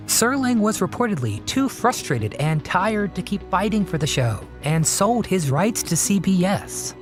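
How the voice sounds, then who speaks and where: A narrator reading a scripted history in a steady, informative way. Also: mid-range voice. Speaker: documentary narrator. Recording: narration over music.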